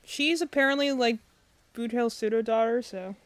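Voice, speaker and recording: Quieter young woman commenting on gameplay. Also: fairly high pitch, normal speed. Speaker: streamer. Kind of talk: casual chat while gaming.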